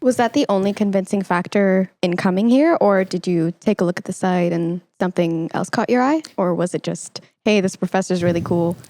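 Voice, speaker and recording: A woman's voice: on the low side, fairly quick, hardly any pauses, warm tone, dry recording. A woman hosting a podcast, chatting casually as she interviews a guest. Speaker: podcast host.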